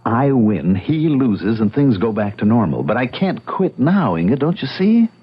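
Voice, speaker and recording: An actor playing an earnest, stubborn young American bachelor in an old-time radio drama, explaining himself emphatically. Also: male voice, medium pitch, big pitch swings, moderate pace, breathy edge. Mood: insistent. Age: young adult. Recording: muffled radio drama recording.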